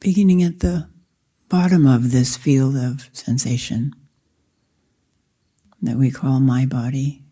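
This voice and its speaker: A woman meditation teacher guiding a practice in slow, deliberate short phrases with long pauses. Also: rumbling low, mellow, husky. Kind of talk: guided meditation, slow spoken instruction.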